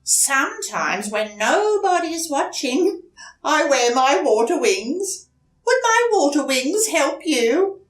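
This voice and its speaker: A woman's voice: high voice, sing-song, takes it slowly, pitched above the performer's own voice, exaggerated voice. A woman voicing a nervous duck in a children's storybook, confiding and emphatic. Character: duck, secretly afraid of deep water. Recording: roomy echo, distant mic.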